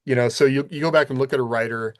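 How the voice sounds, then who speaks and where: A man co-hosting a podcast, giving encouraging advice in a halting, conversational way. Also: medium pitch, steady tone, no echo. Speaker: podcast co-host. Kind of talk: casual chat, encouraging advice.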